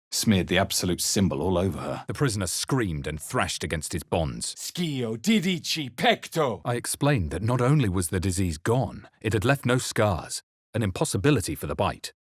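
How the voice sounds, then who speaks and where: A man playing a brooding, watchful adventurer hero in a fantasy video game, with a husky voice and grave, deliberate, theatrical delivery. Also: deep voice, expressive, no echo, studio quality. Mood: forceful incantation. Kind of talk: dramatic game voice lines and first-person narration.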